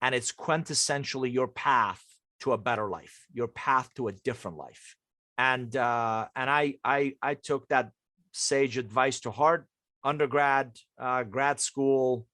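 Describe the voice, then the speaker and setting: A man's voice: medium pitch, measured pace, pushed and bright, no room sound. Man in his fifties from Iran, dry sound. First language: Persian. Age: 50s. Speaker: interview guest, leadership coach and author. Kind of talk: conversational storytelling interview.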